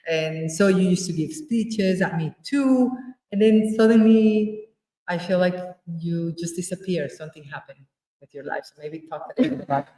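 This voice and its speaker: A woman's voice: fairly low pitch, moderate pace, warm tone, echoey room, recorded from a distance, poor audio. A woman from Ecuador hosting a podcast, prompting her guest with encouraging questions and laughing along. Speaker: podcast host. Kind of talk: casual interview chat.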